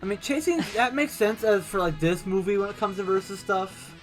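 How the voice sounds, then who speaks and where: Woman, podcast co-host, casual film chat, halting restarts, middle pitch, faint background music. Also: expressive. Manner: halting, restarts, laughs often.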